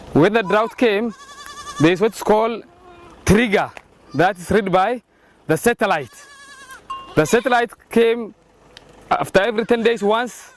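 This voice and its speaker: A man explaining something in an interview, haltingly and step by step. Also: high voice, slight background noise.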